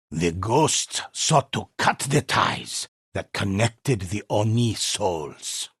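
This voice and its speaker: An actor playing an older, solemn but inviting storyteller who recounts ghostly samurai legends in a theatrical, gravelly, husky voice for a video game. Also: male voice, low voice, a bit bright, no echo, studio quality, heavily acted. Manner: measured, theatrical, savoring words. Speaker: storyteller narrator. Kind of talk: dramatic scripted tale-telling.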